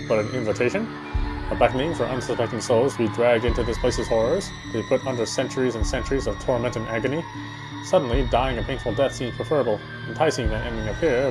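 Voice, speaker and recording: A man reading a horror game story aloud as dramatic narration, occasionally stumbling and rereading phrases. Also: medium pitch.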